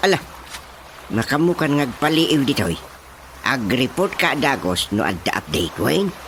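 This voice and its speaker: Village chairman: Ilocano accent, bossy and teasing, hugely varied pitch, theatrical comedy delivery, slight background noise. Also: sing-song, moderate pace, a bit bright. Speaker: radio drama actor. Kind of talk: Filipino radio drama comedy acting.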